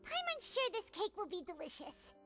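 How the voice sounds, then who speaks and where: Squeaky, very high childlike fairy girl. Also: big pitch swings, normal speed, pushed and bright, heavily acted. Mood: content. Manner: speaks of herself in third person, exclamatory. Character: tiny floating fairy-like girl companion, cheerful, food-loving, childlike. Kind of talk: anime-style video game voice acting. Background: background music.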